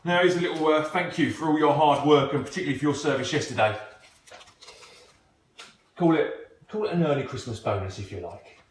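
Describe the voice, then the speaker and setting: A man's voice: on the high side, brisk, pauses often, natural voice. A man playing an awkward, evasive company boss in an amateur play, polite and hesitant. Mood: genial, awkward. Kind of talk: amateur stage play acting, recorded at home. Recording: very echoey room, faint noise.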